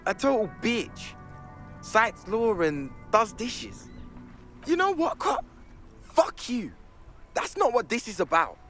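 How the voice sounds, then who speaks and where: An actor playing a cocky, foul-mouthed young street punk in a game, sneering and defiant. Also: male voice, sits high, fairly bright tone, full character voice.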